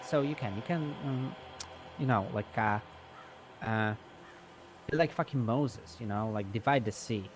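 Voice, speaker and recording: A man talks in a loose, rambling way, often searching for his words and swearing freely. His pitch sits in the middle of the male range. There is faint noise behind him, as on a live game stream. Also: measured pace, long pauses. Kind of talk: improvised casual chat in an online roleplay game.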